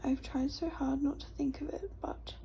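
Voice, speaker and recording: A woman's voice: sits high, steady tone, moderate pace, creaky, dark tone, rough recording. A woman whispering the lines of a grieving, exhausted young woman in a storybook reading. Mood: sorrowful. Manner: whispered, sorrowful.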